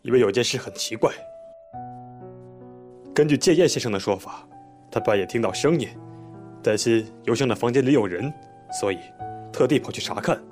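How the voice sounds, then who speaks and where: An actor playing a composed male police detective in an audio drama, explaining things in a measured, methodical, polite way. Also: medium pitch, lively intonation, a little mellow, faint music behind, natural voice. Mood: matter-of-fact. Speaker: police investigator questioning a witness.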